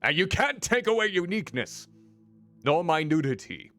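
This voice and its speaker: A man streaming a story game as a VTuber, chatting with his viewers in a playful, teasing way with a deep voice. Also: very animated, normal speed, a bit bright, faint music behind. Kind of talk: casual gameplay commentary.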